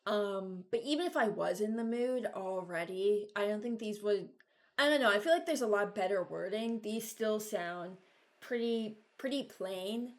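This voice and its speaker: A woman's voice: mid-range voice, moderate pace. Young woman rambling casually to viewers. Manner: rambling, hesitant, thinking aloud. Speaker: video creator. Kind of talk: casual reaction chat.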